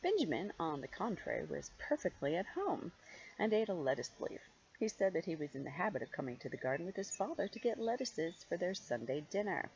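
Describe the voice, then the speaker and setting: Older female storyteller, grandmotherly read-aloud with chatty asides, low pitch, lively swooping intonation, drawn-out emphasis. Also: muffled recording, slight background noise. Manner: expressive, playful, drawn-out emphasis on key words. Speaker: grandmother reading stories to children.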